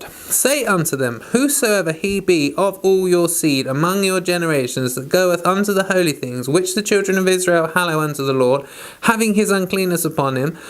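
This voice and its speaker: A vlog host with a playful, self-deprecating, informal way of talking, moving between casual chat and reading aloud. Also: medium pitch. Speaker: host of a Bible read-along vlog. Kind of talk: casual rambling chat, then scripture reading.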